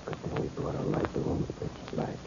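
An actor playing a cautious hired thug, muttering in hushed tones in a 1940s radio drama. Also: male voice, fairly quick, close to an everyday voice. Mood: tense, hushed. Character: nervous, cautious hired thug, low-voiced accomplice. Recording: muffled, very noisy old radio recording.

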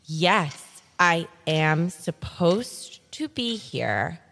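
Woman as an anxious, flustered secret agent, slow and halting. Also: fairly low pitch, very animated, fairly bright tone, full character voice. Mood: nervous. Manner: halting, nervous, repetitive muttering and self-encouragement. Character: anxious, flustered female secret agent, talking herself through danger. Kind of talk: improvised audio drama.

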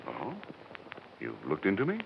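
An actor playing a courteous, respectable, slightly uneasy middle-aged insurance broker in a 1950s radio drama. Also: male voice, a bass voice, huge pitch swings, a little slow, creaky, full character voice. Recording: dull, hissy 1950s radio recording.

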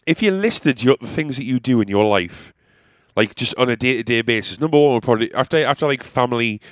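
A man's voice: mid-range voice, dry recording, clean studio sound. A man hosting his own podcast, chatting in a rambling, sweary, self-deprecating way with a stuffy nose. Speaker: podcast host, comedian and musician. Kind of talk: casual rambling monologue.

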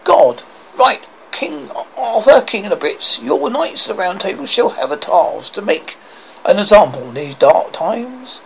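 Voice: medium pitch, very animated, measured pace, vocal fry, slight background noise, low-quality recording. A person voicing an irritable, commanding male God who sounds exasperated and imperious, in comic script reading.

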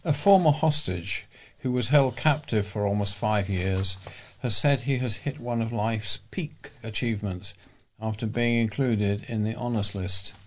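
A man's voice: on the low side, measured pace. A man reading a local news bulletin aloud as a volunteer newsreader.

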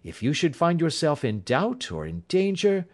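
A man reading an audiobook, voicing a sharp, aloof male detective in a crisp, assured and clipped manner. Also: a little high, wildly swooping pitch, moderate pace, voice pushed up. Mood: grave.

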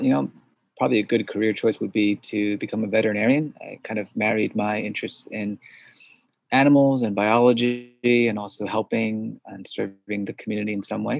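A man from suburban New York, a podcast guest who answers thoughtfully with hesitant pauses. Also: lowish voice, fairly even intonation, vocal fry, dry recording. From suburbs of New York City. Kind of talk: interview conversation.

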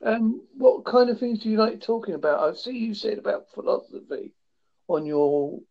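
Fifties male interviewer, Devon-Essex accent, gravelly, plain-spoken, muffled lo-fi sound. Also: sits high, expressive, normal speed. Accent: Devon and Essex English. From England. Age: 50s. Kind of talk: casual online interview. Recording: dull lo-fi mic.

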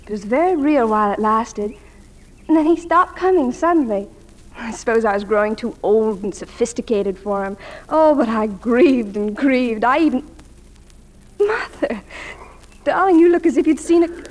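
A woman plays an affectionate, eager young student daughter in a vintage radio play. The voice is very high and girlish, effusive in manner, ringing with long reverb. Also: animated, moderate pace, close to an everyday voice. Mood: curious, affectionate. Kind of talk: 1940s radio drama acting.

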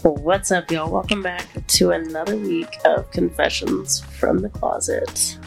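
An American woman hosting a podcast in casual, candid chat, with a congested voice that sits deeper than her usual. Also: steady tone, unhurried, creaky, faint music behind. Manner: candid, conversational. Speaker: podcast host.

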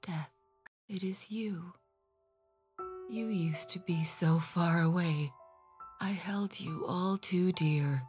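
Slow, low, half-whispered poem reciter. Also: female voice, a little mellow, faint music behind. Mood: somber, resigned. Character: somber woman resigned to death, reciting verse. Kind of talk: slow poetry recital in audio drama.

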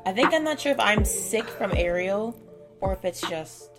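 A congested, foggy-headed woman co-hosting a casual podcast chat, reacting quickly and laughing easily. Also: medium pitch, expressive, loud music behind the voice. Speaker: podcast co-host.

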